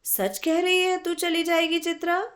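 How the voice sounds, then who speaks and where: A woman's voice: very high-pitched, level tone, unhurried, a bit bright, pitched above the performer's own voice. A woman voicing an earnest, affectionate young female student volunteer in a story, speaking with open feeling. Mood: sad, wistful. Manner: earnest, emotional.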